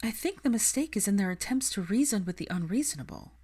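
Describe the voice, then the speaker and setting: A woman's voice: medium pitch, lively intonation, fast, warm tone, breathy edge, pitched above the performer's own voice. A woman narrator acts out an idealistic young witch in a fan fiction audiobook. Her voice is lifted and light, with an earnest, thoughtful delivery. She sounds principled and sincere. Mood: earnest, persuasive.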